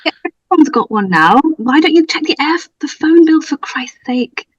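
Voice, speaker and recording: A woman's voice: high voice, expressive, moderate pace, dry recording. A woman playing an indignant middle-aged father in an amateur script read, exasperated and insistent. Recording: dull, distant microphone sound.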